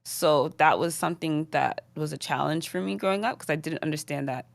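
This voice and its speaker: A woman's voice: deep voice, fairly quick, vocal fry, dry recording. A young woman in her twenties from the UK, chatting as a guest in an interview, laughing often and filling with "like" and "um". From United Kingdom. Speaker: guest rapper. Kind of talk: casual interview chat.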